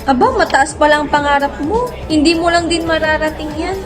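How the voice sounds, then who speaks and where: Woman acting a high-voiced, mocking bar worker. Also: normal speed, lightly acted. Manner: taunting, dismissive. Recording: music and chatter behind the voice.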